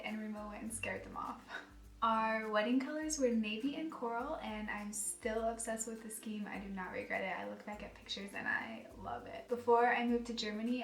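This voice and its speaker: Soft-spoken young woman, echoey room. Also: mid-range voice. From United States. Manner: chatty, giggly, self-deprecating. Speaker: vlogger. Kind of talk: casual vlog chat. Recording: echoey room, voice a bit far from mic, faint music.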